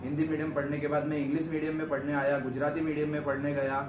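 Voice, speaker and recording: A man's voice: a little high, flat delivery, fast. A young man from Gujarat, India, answering questions about himself on his vlog in a rambling, earnest way. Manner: rambling, earnest, self-correcting. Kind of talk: casual interview chat. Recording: echoey room, far from mic, noisy.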